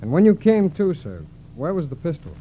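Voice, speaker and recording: An actor playing a courteous, methodical FBI agent in a 1940s radio drama, businesslike and in command. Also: male voice, on the high side, big pitch swings, a little fast, narrow, tinny audio. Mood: courteous, probing. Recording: hissy vintage radio drama recording.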